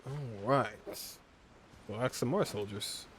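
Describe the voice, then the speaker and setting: A man's voice: medium pitch, a little slow, a bit bright, slight background noise. A man giving casual let's play commentary in a laid-back way, with muttered asides. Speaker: gamer commenting on gameplay. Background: faint game music.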